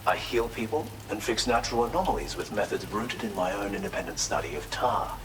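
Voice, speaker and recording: A man's voice: fairly low pitch, lively intonation, brisk, a crowd in the background, lightly acted, poor audio. An actor playing an eccentric, courteous male researcher and healer in a video game, speaking in a theatrical, formal, effusive way. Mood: earnest, explanatory. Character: eccentric, courteous male tar therapist, enthusiastic self-taught researcher. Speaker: non-player character in a video game. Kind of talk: expressive video game character dialogue.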